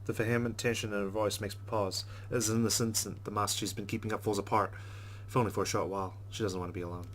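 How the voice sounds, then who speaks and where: Low-voiced, quick-talking man reading a game aloud. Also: level tone, slight background noise. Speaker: let's play host. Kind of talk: reading visual novel narration aloud with casual commentary.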